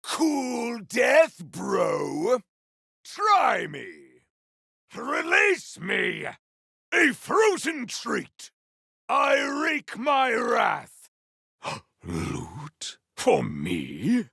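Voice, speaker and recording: A man voicing a righteous, grandiose game warrior on a holy quest, with a gravelly, forceful voice and archaic, theatrical declamation. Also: extremely high pitch, huge pitch swings, extremely slow, no room sound, studio quality, exaggerated voice. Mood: solemn, musing. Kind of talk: video game combat voice lines.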